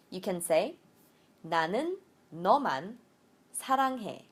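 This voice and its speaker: A woman language teacher giving an instructional lecture, explaining step by step and switching between two languages for example sentences. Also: medium pitch.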